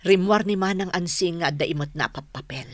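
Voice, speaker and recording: An actress playing a loyal older household maid who confides gravely and earnestly in a radio drama. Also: female voice, on the low side, brisk, dry recording, close to an everyday voice. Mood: sorrowful recounting. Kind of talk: Ilocano radio drama acting.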